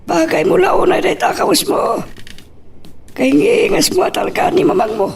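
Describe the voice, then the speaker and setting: A man playing a fond, slow-talking father in an audio drama, with an Ilocano accent. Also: extremely high pitch, big pitch swings, vocal fry, distant mic, heavily acted. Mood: fond, curious. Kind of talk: amateur audio drama acting.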